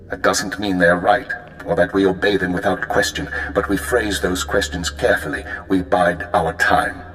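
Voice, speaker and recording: Man playing a low, cold imperial spymaster. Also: fairly bright tone, a little hiss or hum, natural voice, poor audio. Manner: formal, clipped, controlled. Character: cold, measured male imperial intelligence spymaster. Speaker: voice actor in a video game. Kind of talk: dramatic game cutscene acting. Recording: game music under the voice.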